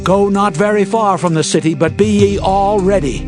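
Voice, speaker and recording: An actor playing a solemn, commanding Israelite leader in a dramatized scripture reading, earnest and declamatory. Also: male voice, sits high, moderate pace, music in the background, natural voice.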